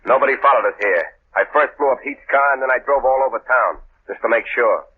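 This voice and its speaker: A man's voice: mid-range voice, fast, a little hiss or hum, close to an everyday voice. An actor playing a curt, threatening gangster henchman who barks orders, in a 1940s radio drama. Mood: bossy. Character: gruff male gangster henchman. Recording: tinny old radio broadcast.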